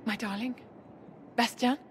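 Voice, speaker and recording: An actress playing a poised, secretive court enchantress in a video game, formal and measured, with condescending courtesy. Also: female voice, a little high, steady tone, a little hiss or hum, close to an everyday voice. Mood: tender. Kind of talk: video game cutscene acting.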